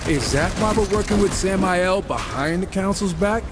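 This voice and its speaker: A man's voice: high voice, moderate pace, over music, natural voice. An actor playing a wisecracking, irreverent gunslinger horseman in a video game, wry, sarcastic and casual. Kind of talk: video game cutscene acting.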